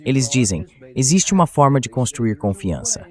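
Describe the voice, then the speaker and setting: A man presents political commentary as the host of a show. His delivery is that of a translated voice-over, set at a middle pitch. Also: big pitch swings, moderate pace.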